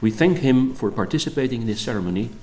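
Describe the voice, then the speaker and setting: A man chairs a formal academic ceremony, speaking courteously at a middle pitch. He thanks the guest examiner and hands over the floor in measured tones. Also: lively intonation, dark tone. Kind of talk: formal ceremonial speech in English.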